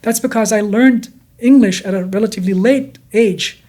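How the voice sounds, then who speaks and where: A neuroscientist guest from Denmark, of Kurdish origin, with a blended accent in English, explaining at length and often repeating words and restarting. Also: male voice, sits high, moderate pace, a little mellow. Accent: blended Danish and Kurdish. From Denmark, Kurdish from Iraq. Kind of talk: explanatory interview talk.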